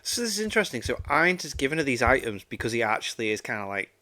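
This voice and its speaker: A man's voice: medium pitch, very animated, very fast, pushed and bright. A man giving casual, off-the-cuff reaction commentary with informal, exclamatory interjections. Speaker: reaction video host.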